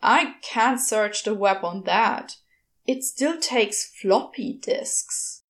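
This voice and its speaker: A woman's voice: medium pitch, expressive, slow pace. A woman voicing a brusque, moody male demon in a storybook reading, terse and grumbling. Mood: exasperated, sardonic.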